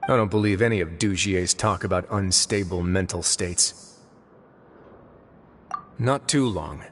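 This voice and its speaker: A man voicing a composed male duke who speaks in a calm, dry, measured way. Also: low voice, a little hiss or hum. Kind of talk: game voice acting. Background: game music.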